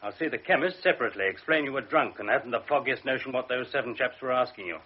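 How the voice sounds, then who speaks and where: Brief: male actor as a barking, husky colonel, old radio-drama feel, muffled sound. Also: mid-range voice, fast talker, lightly acted. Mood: stern. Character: gruff blustering army colonel. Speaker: commanding officer. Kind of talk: 1960s radio drama acting. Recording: dull lo-fi radio broadcast.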